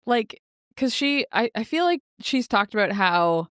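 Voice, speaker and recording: A woman's voice: on the high side, sing-song, measured pace, fairly bright tone, no echo, studio quality. A woman co-hosting a podcast in casual book chat, wry and joking, with frequent laughter. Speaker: podcast co-host.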